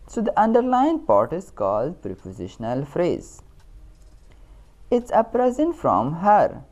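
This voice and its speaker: A woman with a Pakistani accent giving a scripted grammar lesson as a teacher, in a deliberate, instructional manner. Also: low-pitched, a little mellow. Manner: instructional, deliberate, repeats key terms. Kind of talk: scripted lesson narration with examples.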